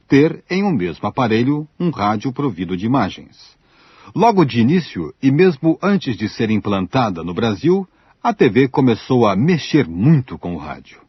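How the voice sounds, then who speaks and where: A man's voice: mid-range voice, husky, no echo. A man with a Brazilian accent narrating a scripted radio documentary. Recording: dull, dry shortwave-era radio recording.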